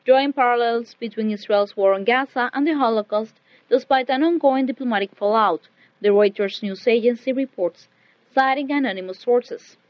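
A woman with a fairly high voice reads scripted radio news. The recording is dry, with little room sound, and somewhat dull and limited in its frequency range. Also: normal speed, no room sound. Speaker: radio newsreader. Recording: dull, band-limited shortwave radio audio.

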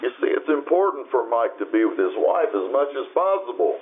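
Tinny, noisy radio recording: man plays a patient, wise spirit guide, very animated pitch, gently admonishing. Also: a little fast, lightly acted. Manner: calm, explanatory, gently admonishing. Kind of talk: old-time radio drama acting. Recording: tinny phone-like band, noisy radio sound.

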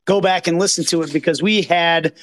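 A hoarse man from Kansas City hosting a live post-match reaction, talking in an excited, rambling, self-deprecating way from a green room backstage. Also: fairly high pitch, a little fast. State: hoarse from yelling. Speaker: podcast host. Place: green room behind an outdoor stage. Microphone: phone.

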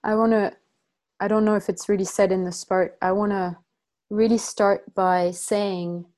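Woman, from France, workshop facilitator, halting, frequent restarts, explaining slowly. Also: medium pitch, flat delivery, darkish tone. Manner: halting, many restarts and self-corrections. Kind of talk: teaching a group, reading from notes.

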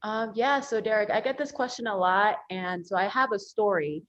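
A woman's voice: medium pitch, moderate pace, muffled recording. A woman attorney from the United States, a guest on a podcast, telling her story earnestly with many ums and you-knows. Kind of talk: podcast interview.

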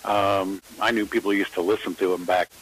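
A man with a fairly low voice takes part in a casual radio discussion. He reminisces thoughtfully, feeling his way through each sentence with pauses. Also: flat delivery, fairly bright tone, slight background noise, low-quality recording. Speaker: radio show panelist.

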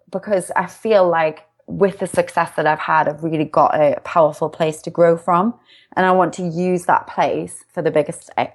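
A young woman from the UK, a success coach, talking as an interview guest in an upbeat, reflective way. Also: lowish voice. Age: young adult. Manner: bubbly, upbeat, self-reflective. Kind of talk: casual interview chat.

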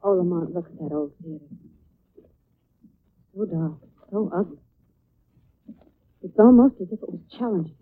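A woman's voice: lowish voice, moderate pace, pauses often, narrow, tinny audio, natural voice. An actress playing a refined, anxious lady companion to a sleuth, earnest and breathless in a 1940s radio style. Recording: thin, hissy old radio broadcast.

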